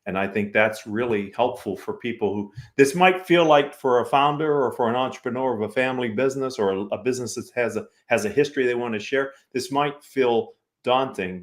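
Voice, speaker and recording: An American man works as a talk show host in a conversational business interview style. His voice sits at a medium pitch. He is affirming and complimentary toward his guests. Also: a little fast.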